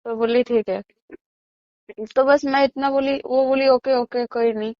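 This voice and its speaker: Woman eyewitness, higher-pitched voice, conversational recounting, muffled phone-call audio, dry sound. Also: fairly even intonation, unhurried, no room sound. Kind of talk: casual recounting of events. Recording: dull lo-fi phone call recording.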